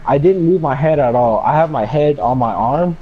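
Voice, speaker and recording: A man's voice: on the high side, lively intonation, moderate pace. A young man telling a personal story casually in a laid-back, slangy way with some swearing. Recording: dull lo-fi mic with background noise.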